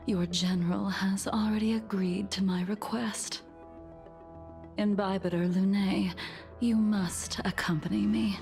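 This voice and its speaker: Guerreira anciã serena, fala lenta e rouquinha. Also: female voice, mid-range voice, creaky, a little mellow. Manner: measured, formal, wistful. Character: serene, world-weary ancient swordswoman, coolly amused. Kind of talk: video game character acting.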